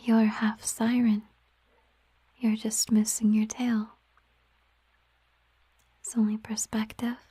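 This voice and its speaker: A woman plays a gentle siren girlfriend in an intimate ASMR roleplay. Her voice is a breathy, mellow whisper, slow and softly musing. It is recorded close and dry. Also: a little high, no echo. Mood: tender, musing. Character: gentle, affectionate female siren, a mermaid girlfriend. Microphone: close microphone, dry sound.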